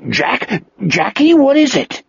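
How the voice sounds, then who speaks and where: A man's voice: fairly high pitch, wildly swooping pitch, moderate pace, vocal fry, breathy edge, no room sound, muffled recording, voice pushed up, exaggerated voice. A man voicing a big, simple, devoted wolfish creature in an audiobook story, with childlike, broken speech. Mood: distressed, concerned. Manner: simple broken speech, repeats own name.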